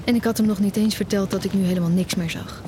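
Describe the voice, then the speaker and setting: An actress playing a young, nearly blind music student, an anxious and demanding daughter, in an audio drama. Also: female voice, mid-range voice, steady tone, quick pace, noisy background, lightly acted. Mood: reflective narration. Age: young adult. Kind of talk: audio drama dialogue and narration.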